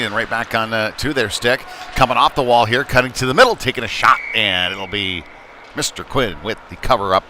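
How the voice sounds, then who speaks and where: A man giving live play-by-play commentary on ice hockey in a halting way, with frequent pauses. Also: fairly low pitch, bright, forceful tone. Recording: noisy live rink broadcast.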